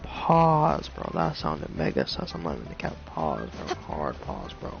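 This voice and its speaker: A man's voice: very deep voice, wildly swooping pitch, normal speed, gravelly, muffled recording, music in the background. A young man doing casual playthrough commentary full of slang and swearing, repeating himself in mock outrage. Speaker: game streamer.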